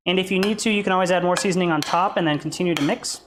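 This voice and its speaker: A man's voice: high-pitched, rapid-fire, noisy background. A man hosting a live cooking stream from his home kitchen, chatting casually and explaining each step as he cooks. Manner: relaxed, chatty, explains as he goes. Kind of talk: casual chat while cooking. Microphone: desk mic near the stove.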